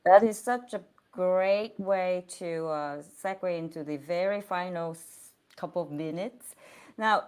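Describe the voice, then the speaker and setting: A woman host with a somewhat low pitch for a woman. She speaks in an effusive, encouraging way, praising her guest and laughing easily. She is heard over a video call. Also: a little mellow. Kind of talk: hosting an online interview.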